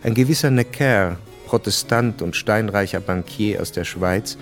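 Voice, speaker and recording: A man's voice: fairly low pitch, measured pace. A man narrating a radio drama in a scripted, literary style. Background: music.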